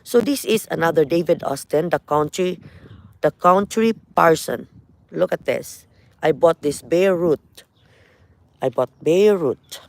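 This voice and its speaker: A woman's voice: sits low, unhurried, creaky, darkish tone, no echo. Chatty woman with a Filipino accent. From Philippines. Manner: chatty, repeats words, frequent "you know" and "uh". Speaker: gardening vlogger. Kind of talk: casual garden walk-through. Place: outdoors in a front garden.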